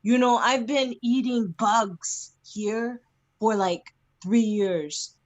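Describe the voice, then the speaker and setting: A giggly woman improvising a monologue over a video call, rushing her lines despite an unhurried base pace. The sound is dry and close. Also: on the high side, fairly even intonation, dry recording.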